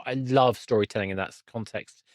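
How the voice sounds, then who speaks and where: A man's voice: medium pitch, brisk, no room sound, studio quality. A man chatting casually as a podcast host, thinking aloud and often correcting himself mid-sentence. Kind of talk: casual conversational interview.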